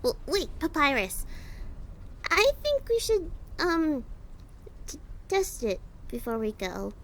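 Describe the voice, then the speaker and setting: A woman's voice: sits high, very animated, slow, a bit bright, slight background noise, heavily acted. A woman voicing a timid, anxious, nerdy female lizard monster in an audio drama, stammering and hesitant. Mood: worried. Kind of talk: audio drama character acting.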